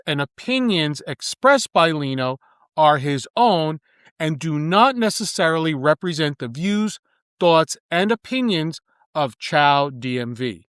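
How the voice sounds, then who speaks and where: A man hosts an interview podcast with a smooth, resonant voice that sits a bit high. He delivers in a measured, formal manner. The sound is close and dry, with no room around it. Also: a bit bright, dry recording. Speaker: podcast host. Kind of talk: scripted intro then interview.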